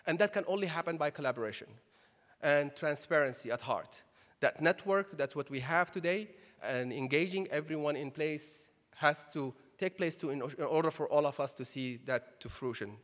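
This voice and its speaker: A man's voice: a little high, moderate pace, fairly bright tone. Man posing rhetorical questions in a hall. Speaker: conference speaker and chairman. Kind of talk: conference speech. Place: conference hall. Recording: reverberant hall, PA.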